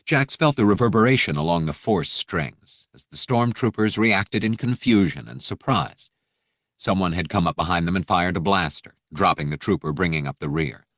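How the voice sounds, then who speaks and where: Very deep-voiced male audiobook narrator. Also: lively intonation, normal speed, fairly bright tone, no room sound, studio quality. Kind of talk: dramatic scripted fiction narration.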